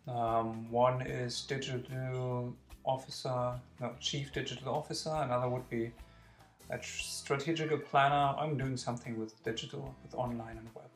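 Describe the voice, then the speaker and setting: A man's voice: mid-range voice, level tone, moderate pace, soft background music. A man, answering interview questions hesitantly and searching for words. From Germany. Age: 40s. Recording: echoey room.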